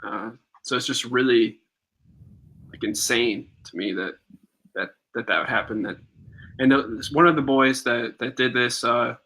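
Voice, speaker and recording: A young man co-hosting a live podcast. He recounts a story loosely, with plenty of "uh"s and pauses. His voice is bright and forward, in a middle pitch. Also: a little hiss or hum, poor audio. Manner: relaxed, recounts stories with fillers and pauses. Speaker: podcast co-host. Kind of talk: casual live-stream chat and storytelling.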